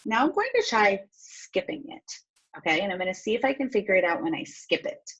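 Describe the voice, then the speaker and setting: A woman teacher reading a storybook aloud and pausing to explain things step by step in an instructive way. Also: mid-range voice, big pitch swings, a bit bright, husky, muffled recording.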